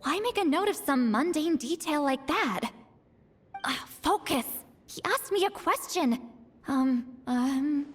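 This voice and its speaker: A woman playing a proud, sassy young spirit seer in a game cutscene, brash, teasing and expressive. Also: high-pitched, takes it slowly. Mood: flustered. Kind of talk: animated video game cutscene acting. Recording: long echoing reverb, music underneath.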